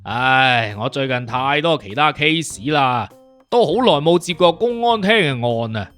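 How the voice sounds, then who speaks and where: A man's voice: mid-range voice, lively intonation, a little slow, a bit bright, clear, resonant tone, faint music behind, voice pushed down. A man voicing a cocky, teasing detective consultant in an audiobook, speaking slangy with a swaggering, mocking manner. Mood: jovial.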